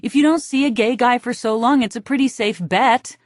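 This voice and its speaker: A woman's voice: a little high, moderate pace, dry recording, clean studio sound, pitched above the performer's own voice, close to an everyday voice. A woman voicing a bitter, attention-hungry younger sister who complains in a stagy, overwrought way, playing to a crowd. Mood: evasive, exasperated.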